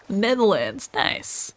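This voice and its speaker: An Australian woman chatting casually while streaming gameplay and answering viewers' comments. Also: medium pitch.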